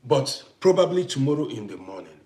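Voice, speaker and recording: A man's voice: mid-range voice, sing-song, a little fast, dark tone, reverberant. A middle-aged man from Congo hosting a casual live stream, talking emphatically, repeating himself and greeting viewers by name. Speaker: TikTok live host.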